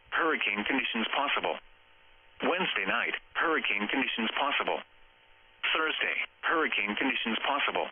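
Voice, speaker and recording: A synthetic man's voice reading a scripted weather bulletin over the radio in a flat, formal, clipped manner. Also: mid-range voice, breathy edge. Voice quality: synthetic text-to-speech voice. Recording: muffled, narrow-band weather radio broadcast.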